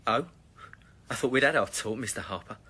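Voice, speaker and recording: An actor playing a cocky, evasive small-time criminal in a sardonic, bluffing manner in a naturalistic radio drama. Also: male voice, medium pitch, brisk, sharp tone, natural voice. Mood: wary, defiant.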